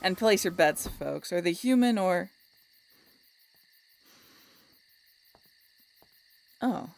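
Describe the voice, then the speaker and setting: A woman's voice: on the low side, lively intonation, moderate pace, gravelly, rough recording. A woman playing a snarky, teasing female vampire in an audio roleplay, talking to the listener in a wry, conversational way. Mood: sarcastic. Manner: sardonic, wry, playful, conversational. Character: snarky, swearing female vampire road-tripper, teasing and dramatic.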